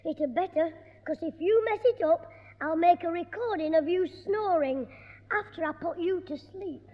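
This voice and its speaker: Cheeky, scheming schoolboy, very high voice, old radio sound, dull and echoey. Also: male voice, some chatter behind, a little hiss or hum. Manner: cheeky, quick-witted, stammering when caught out. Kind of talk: 1960s radio sitcom acting. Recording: dull old radio broadcast with long reverb.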